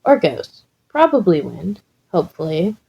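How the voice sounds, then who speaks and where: A woman reading a scripted comic story in a measured, deliberate storytelling manner. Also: lowish voice, sing-song, vocal fry, husky, dull audio. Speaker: fan fiction reader.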